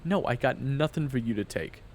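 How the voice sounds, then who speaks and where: A man playing a weary boss with a buried temper in a dramatic audio roleplay, gravelly and brooding. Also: medium pitch, animated, moderate pace, darkish tone, echoey room, a little hiss or hum, close to an everyday voice. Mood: incredulous. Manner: brooding when narrating, exasperated in dialogue. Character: weary reformed hot-tempered man, a soft-pushover boss. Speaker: narrator and lead character.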